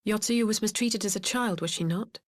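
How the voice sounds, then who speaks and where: An actress playing a forthright, sharp-minded young woman in a dramatic game scene, speaking directly and challengingly. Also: medium pitch, brisk, dry recording, clean studio sound, lightly acted. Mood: indignant.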